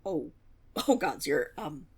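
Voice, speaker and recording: A woman's voice: low-pitched, very animated, slow, darkish tone, full character voice. A woman playing an eager, nervous young female tiefling rogue in an intimate fantasy audio roleplay, rambling and stammering with a teasing streak. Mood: flustered, apologetic. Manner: nervous rambling with stammers, sighs and breathy giggles.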